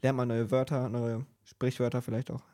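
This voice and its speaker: Casting: male podcast interviewer, medium pitch, wordy conversational questioning. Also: little pitch movement, normal speed, warm tone. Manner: wordy, rephrases answers as questions.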